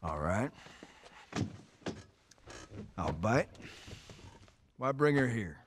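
A man's voice: fairly low pitch, sing-song, extremely slow, noisy background, full character voice. An actor playing an earnest, wary middle-aged family man in a survival video game, plain-spoken with a Southern US drawl. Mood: sceptical. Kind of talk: cinematic video game acting. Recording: music behind the voice.

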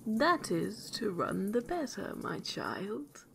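A woman's voice: mid-range voice, big pitch swings, vocal fry, slight background noise, exaggerated voice. A woman voicing a sly, hungry male wolf in a storybook tale, with coaxing, predatory speech. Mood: softly coaxing. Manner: softened, coaxing, drawn-out speech.

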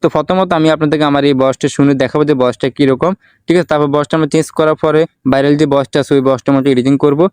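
Very fast-talking man, tutorial host. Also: on the high side, fairly even intonation, darkish tone, clean tone, no echo, studio quality. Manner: repetitive explaining, English words mixed in. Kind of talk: step-by-step software tutorial narration.

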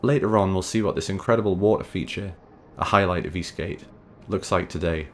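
A man hosting a video essay, reading scripted narration in a measured, wry, conversational way. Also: deep voice, slight background noise. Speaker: host and narrator of a video series. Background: background music.

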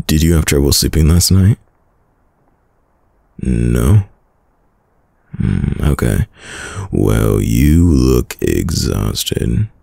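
A man playing a caring, worried boyfriend in an intimate ASMR roleplay, with a deep, gravelly, husky voice and a soft, soothing manner. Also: dry recording, exaggerated voice. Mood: concerned, gentle. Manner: soft, slow, soothing, hesitant. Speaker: audio roleplay voice actor.

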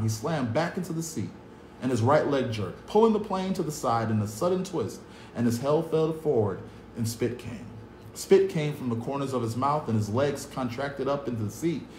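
A man in his thirties reads a chapter book aloud for children, earnest and dramatic. His mid-pitched voice bounces around a bare cinderblock room, picked up by a phone on the table with a faint hum behind. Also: a little mellow, reverberant, poor audio. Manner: earnest, dramatic, upbeat at the close. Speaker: youth club staff reading a novel aloud. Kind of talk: read-aloud storytelling for kids.

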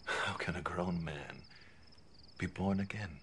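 An actor playing a puzzled, respectful religious leader, questioning slowly in a biblical film drama. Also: male voice, low-pitched, a bit bright, slight background noise.

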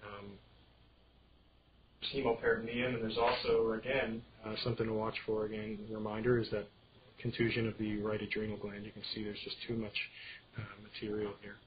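Guy with a lowish voice doing a med slide lecture, kinda halting, muffled audio. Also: monotone. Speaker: radiology lecturer. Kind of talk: informal medical slide lecture. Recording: dull screen-capture audio with clear background noise.

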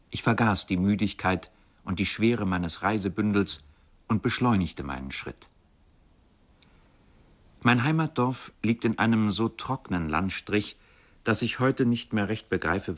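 A contemplative traveller remembering the road of his youth. His voice sits fairly low. He tells it in careful, measured phrases, in the literary manner of a radio play. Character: reflective Chinese man recalling his youthful wandering to a river.

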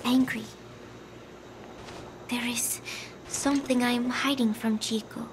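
Frail, haunted young woman for game drama: high, gentle, breathy, confused, slow and hesitant delivery. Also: fairly even intonation, music in the background. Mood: dazed. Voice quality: breathy, often dropping to a whisper. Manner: halting, hesitant, fragmented phrases. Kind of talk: dramatic video game cutscene acting.

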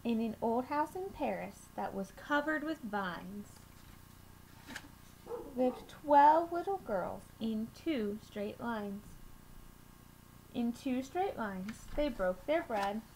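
High-voiced young woman reading slowly to children. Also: lively intonation, a little mellow. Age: young adult. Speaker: children's storytime reader at a school. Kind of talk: reading a picture book aloud to children. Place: small room at home, indoors. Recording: noisy home webcam recording.

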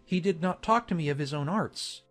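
A woman voicing a genial, formal gentleman colonel in a measured, understated way for a dramatized audiobook. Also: low-pitched, lively intonation, faint music behind. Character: genial gentlemanly English colonel, adult man, lightly jesting. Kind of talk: dramatized audiobook character dialogue.

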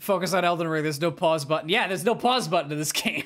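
A man's voice: on the high side, fast talker, fairly bright tone. A man with a nasal voice, talking casually and expressively as he livestreams a game and answers chat, often self-deprecating.

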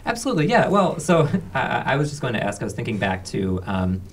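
A man co-hosting a casual panel interview, asking questions in a halting, hesitant way. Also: mid-range voice, big pitch swings. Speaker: co-host interviewer. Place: small indoor studio. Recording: slightly distant mic, faint room noise.

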